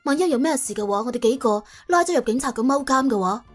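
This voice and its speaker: A playful young woman schemer, voiced by a female narrator, cheeky and wheedling in audiobook style. Her voice is fairly high and very lively in pitch. Faint music plays behind her. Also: fast. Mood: hesitant, wary. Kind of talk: audiobook character acting.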